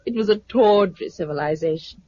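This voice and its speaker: An actress playing a gentle, supportive British wife surviving a catastrophe, in a 1950s radio drama manner. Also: female voice, mid-range voice, brisk, lightly acted. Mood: teasing, tender. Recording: muffled, noisy 1950s radio recording.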